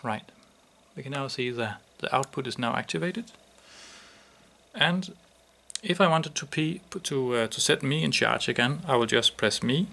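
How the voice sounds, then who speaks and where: Gravelly man demonstrating a product. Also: mid-range voice, normal speed, a bit bright.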